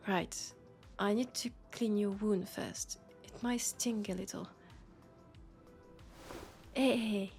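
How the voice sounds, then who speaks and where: Woman acting as french accented cop roommate, sci-fi audio roleplay, slow deliberate talk, bossy but caring, bit of music behind. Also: mid-range voice, a little mellow. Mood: annoyed but caring. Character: sharp, scolding yet caring young policewoman roommate in sci-fi. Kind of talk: intimate scripted roleplay audio drama.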